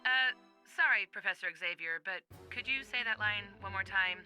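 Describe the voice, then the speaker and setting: Game session director: polite, coaxing, harried, very bright mid-pitched voice. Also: female voice, moderate pace, soft background music. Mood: patient, coaxing. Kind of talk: video game character acting.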